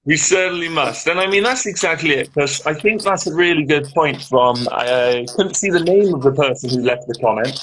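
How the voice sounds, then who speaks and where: A man's voice: on the high side, normal speed, few pauses, a bit bright. A man co-hosting a casual live-stream chat over a video call, easygoing and chatty, on slightly crackly audio. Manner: chatty, easygoing, British turns of phrase. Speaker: co-host.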